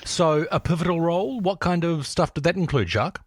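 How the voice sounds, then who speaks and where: A man's voice: on the high side, brisk, no echo. A man hosting a podcast with upbeat presenter delivery. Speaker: podcast host. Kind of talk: scripted podcast intro and interviewing. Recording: music bed under the voice.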